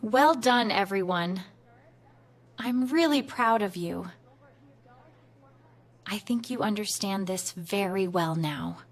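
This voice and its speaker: Slow, clear woman English teacher. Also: mid-range voice. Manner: clear, patient, encouraging. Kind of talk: slow scripted classroom lesson.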